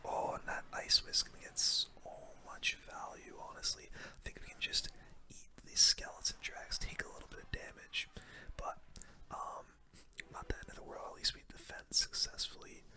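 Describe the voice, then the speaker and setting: A gaming host softly whispering relaxed ASMR gameplay commentary into a close microphone. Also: extremely high pitch, moderate pace.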